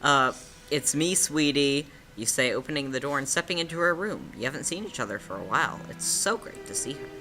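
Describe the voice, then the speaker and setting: A woman's voice: very deep voice, lively intonation, fairly quick, pushed and bright. A woman reading a choice-based story game aloud as host, with casual, playful, sardonic banter. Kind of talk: casual playthrough narration with banter. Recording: music playing behind the voice.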